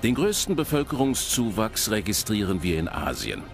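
Male documentary voice-over, lowish pitch, lively intonation, scripted presenter delivery, music underneath. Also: normal speed. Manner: addresses the audience directly with rhetorical questions. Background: background music.

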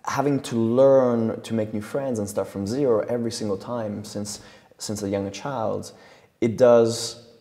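A young man with a low gravelly voice. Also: warm tone, big-room sound. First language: Italian. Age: young adult. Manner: thoughtful, gestures while talking, frequent restarts. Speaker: guest coach and trainer. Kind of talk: relaxed interview talk. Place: studio set with leather sofas and brick wall. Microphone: lapel mic.

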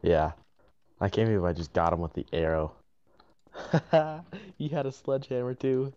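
A man's voice: fairly low pitch, big pitch swings, moderate pace, mellow, dull audio. A young man chatting casually with a friend while playing a video game. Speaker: gamer recording gameplay with a friend. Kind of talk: casual gaming chat.

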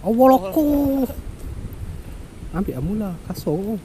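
A man with a Malaysian accent giving casual commentary from a kayak at sea, talking in muttered asides and sudden excited exclamations, recorded on a head-mounted action camera. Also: high-pitched, a little slow. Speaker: kayak fishing vlogger. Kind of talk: casual running commentary while fishing. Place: kayak on open sea outdoors. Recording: noisy outdoor action-camera audio with wind.

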